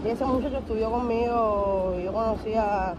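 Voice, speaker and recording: A woman's voice: a little high, moderate pace, muffled recording. A Cuban woman talking casually to her viewers as a vlogger while walking through the streets. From Cuba. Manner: chatty, addresses viewers as family. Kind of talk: casual vlog narration to viewers. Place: outdoors on city streets. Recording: noisy street recording. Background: street noise, dogs, vehicles.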